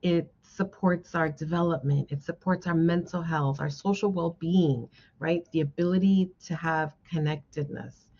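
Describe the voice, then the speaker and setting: Low-pitched woman giving a motivational talk. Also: fairly even intonation, moderate pace, hardly any pauses, mellow. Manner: encouraging, frequently checks in with listeners. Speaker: guest speaker, social worker. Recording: dull, band-limited lo-fi sound.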